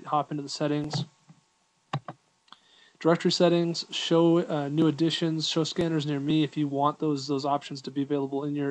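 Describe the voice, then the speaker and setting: A man reviews an app in a casual, unscripted walkthrough. His voice sits a bit higher than average for a man. He explains each step in an informal, easygoing manner. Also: a little mellow, poor audio. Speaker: app reviewer giving a tutorial.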